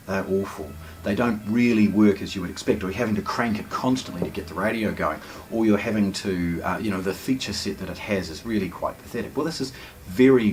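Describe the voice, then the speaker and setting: A man giving a casual hands-on product unboxing commentary, explaining things with hesitant pauses between phrases. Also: low-pitched, a little hiss or hum. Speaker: product presenter.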